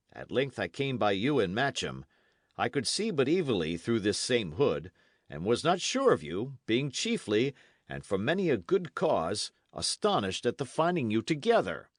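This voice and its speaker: A man voicing a forceful, boastful knight of old England in a storybook, with archaic, declamatory speech full of oaths. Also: medium pitch, no room sound, studio quality, voice pushed up. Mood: boastful, confiding. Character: forceful, vengeful old-time English knight, boastful and commanding.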